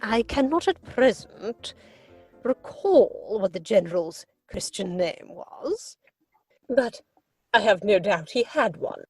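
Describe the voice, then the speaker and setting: A woman's voice: medium pitch, expressive, slow pace, a little mellow, slightly rough, no echo, soft background music. A woman playing an imperious, haughty older aristocratic aunt in a stage comedy reading. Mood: dismissive. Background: musical score.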